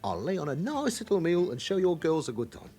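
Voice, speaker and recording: A chatty, shady club owner in a radio crime drama. His voice is high for a man and swings widely in pitch, with a teasing, ingratiating manner. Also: big pitch swings, a little fast, warm tone, breathy edge, faint music behind, exaggerated voice. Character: chatty, slyly genial male London club owner with criminal ties. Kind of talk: naturalistic radio drama acting.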